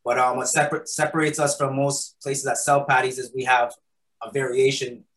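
A man's voice: medium pitch, little pitch movement, normal speed, a bit bright. A man answering interview questions in a loose, conversational way, filling his answers with "um" and "right?". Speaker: guest, patty shop founder. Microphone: recording studio mic.

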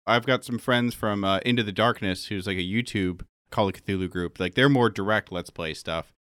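A man's voice: on the low side, normal speed, a bit bright, clean tone, dry recording, clean studio sound. A man chatting casually as a game keeper on a podcast, explaining things in a hesitant, rambling way. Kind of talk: casual chat.

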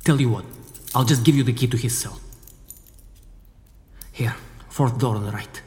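A man performs an anxious male prison worker for an audio drama. He is guarded and earnest at a medium pitch. The voice rings in a long, reverberant hall. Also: normal speed, a little mellow, lightly acted. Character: anxious, guarded male prison employee secretly plotting escape.

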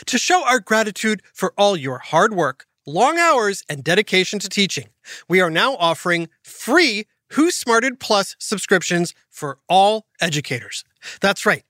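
A woman's voice: medium pitch, a bit bright, dry recording. A woman hosting a children's podcast, reading a scripted announcement in an upbeat, emphatic way, recorded dry in a studio. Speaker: podcast host.

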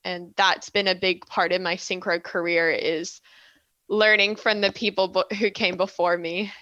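A woman's voice: fairly low pitch, flat delivery, normal speed, sharp tone, dry recording, dull audio. A woman in her twenties with an Australian accent tinged with Canadian, chatting as an interview guest, laughing often between fillers. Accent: Australian-Canadian blend. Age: 20s. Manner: giggly, chatty, many fillers. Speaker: guest, synchronized swimmer. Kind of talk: casual interview chat.

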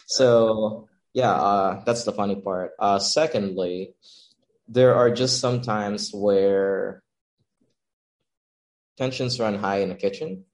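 Low-voiced young man, relaxed chat. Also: slow pace. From Philippines. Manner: relaxed, laughs often, many fillers like "um" and "like". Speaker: chef and pop-up owner, guest. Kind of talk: casual interview chat.

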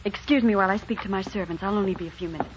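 An actress playing an earnest, troubled young heroine with a secret, in theatrical 1940s radio style. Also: female voice, mid-range voice, rapid-fire, lightly acted. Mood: composed. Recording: dull, slightly noisy 1940s radio recording.

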